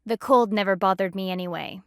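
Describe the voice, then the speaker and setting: A woman's voice: medium pitch, fairly quick, clean tone, dry recording, clean studio sound, natural voice. A woman voicing a stern, haughty panda army lieutenant in a video game, speaking in a formal, clipped, dryly disdainful way.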